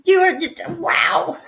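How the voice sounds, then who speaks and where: A woman hosting an interview show, gushing and excitable, laughing often, her voice lower and rougher than usual from a cold. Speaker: show host. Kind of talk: casual interview chat.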